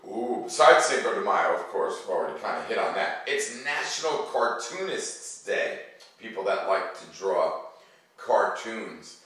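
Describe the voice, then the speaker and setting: A man's voice: on the low side, a bit bright. A middle-aged man who hosts a read-aloud for students, chatting casually to kids in an easygoing, conversational way with wry asides. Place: living room at home, armchair by fireplace. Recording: very echoey room, distant mic.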